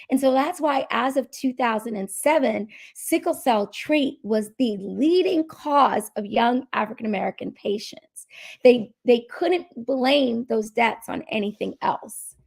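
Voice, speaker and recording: A woman with a cold and a hoarse voice, giving an explanatory medical talk at a seminar and repeating key points. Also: on the high side, a little mellow. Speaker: medical speaker.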